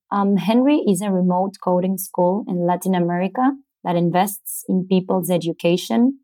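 Argentine woman, even low-pitched voice, halting delivery. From Buenos Aires, Argentina. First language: Spanish. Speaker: interview guest, startup co-founder. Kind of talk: explanatory interview answers.

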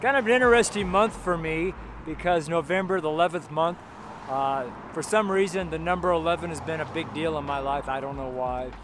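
A seventy-year-old man with a fairly high voice preaches in a loose, folksy way, wandering from thought to thought. He speaks outdoors, with faint traffic hum behind him. He chuckles to himself now and then. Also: a little fast. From United States. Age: 70s. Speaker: street preacher. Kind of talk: casual outdoor sermon. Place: outdoors by a road with passing cars.

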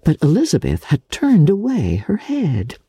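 A woman narrating classic fiction in a theatrical, measured and deliberate literary style, with a husky voice. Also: deep voice, wildly swooping pitch, warm tone, dry recording. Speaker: audiobook narrator.

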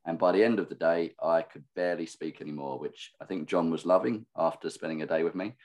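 A man co-hosting a podcast with a husky, worn-out voice, self-deprecating and quick to laugh. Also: deep voice, darkish tone. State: hoarse, husky from overuse. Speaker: podcast co-host. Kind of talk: casual chat.

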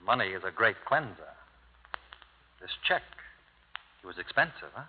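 An actor playing a cocky, hard-boiled American lawyer with clipped, wisecracking delivery in a radio drama. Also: male voice, lowish voice, very animated, normal speed, slight background noise. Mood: scornful, insolent. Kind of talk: 1950s radio drama acting. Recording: tinny old radio broadcast, hall reverb.

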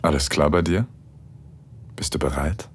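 An actor playing an assured, tender husband who gently takes charge in an intimate erotic audio drama, coaxing and commanding. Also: male voice, rumbling low, unhurried, a little hiss or hum. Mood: reassuring, tender.

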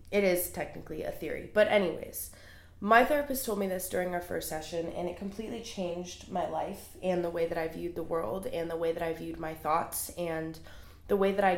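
A young woman with a cold and a congested voice, hosting her podcast in a chatty, earnest way. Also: on the low side. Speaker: podcast host. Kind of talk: casual solo podcast monologue. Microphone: small handheld recorder. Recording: roomy echo.

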